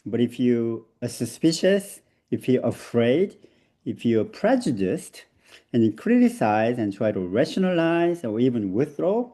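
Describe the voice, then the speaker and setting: Korean-accented man leading an online seminar. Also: mid-range voice. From South Korea. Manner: animated, laughs often, hesitant fillers. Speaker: seminar presenter. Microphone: video call.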